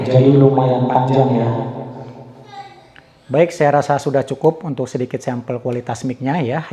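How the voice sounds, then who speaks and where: A man's voice: medium pitch, warm tone. A man giving a casual spoken product review and audio demo.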